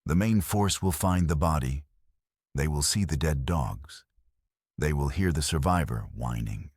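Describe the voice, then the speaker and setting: A man's voice: extremely low pitch, expressive, unhurried, darkish tone, husky, no echo, studio quality, heavily acted. A man voicing a stern, calculating military commander in an audiobook story, with terse, commanding and analytical delivery. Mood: cold, calculating.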